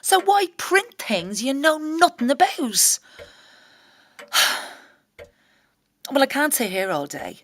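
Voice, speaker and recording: A woman's voice: high voice, big pitch swings, slow, long pauses, heavily acted. A woman playing an anxious working-class young mother who fears for her husband, in an intimate audio drama monologue. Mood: agitated, resolute. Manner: hushed, breathy inner thoughts broken by spoken-aloud outbursts. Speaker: actor in a solo audio play.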